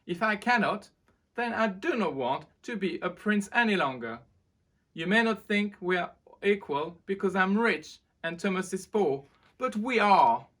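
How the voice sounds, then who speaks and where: A woman's voice: medium pitch, lively intonation, unhurried, fairly bright tone, voice pushed up. A woman voicing a young storybook prince, earnest and loving, speaking out emphatically. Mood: earnest, defiant. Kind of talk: storybook character reading.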